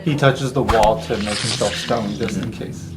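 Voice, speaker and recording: A man's voice: lowish voice, normal speed, loud music behind the voice, rough recording. A man casually narrating his character's actions as a player in a tabletop roleplay.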